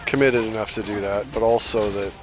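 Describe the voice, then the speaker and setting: A man's voice: lowish voice, fairly even intonation, brisk, rough recording. A man giving casual, rambling gameplay commentary on a livestream, his voice starting to tire. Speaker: game livestreamer.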